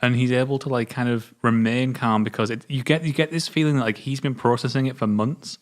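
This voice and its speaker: British guy, mid pitch, chill, chatting film trivia with his mate and laughing a lot. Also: a little fast. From Britain. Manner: relaxed, shares trivia, laughs often. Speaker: co-host of a film review show. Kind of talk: casual film chat.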